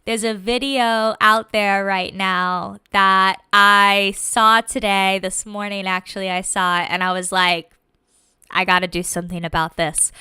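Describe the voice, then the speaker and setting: Bright, crisp-voiced chatty woman host. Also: a little high, dry recording, clean studio sound. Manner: chatty, encouraging, filler-heavy. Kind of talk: casual video chat.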